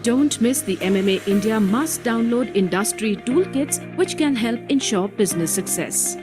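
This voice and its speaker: A woman's voice: on the high side, lively intonation, normal speed, music in the background. A woman reading a polished, upbeat promotional voice-over.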